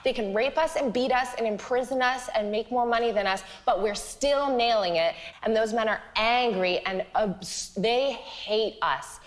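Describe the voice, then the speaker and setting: A woman's voice: on the high side, moderate pace, few pauses. A woman who is a guest on a comedy panel, arguing in emphatic, building rhetorical rants. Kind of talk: impassioned comedic argument. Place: TV studio with audience.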